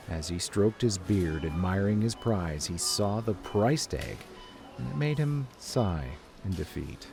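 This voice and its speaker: A man's voice: fairly low pitch, darkish tone. A man reading scripted story narration as the narrator of an audio drama. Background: music.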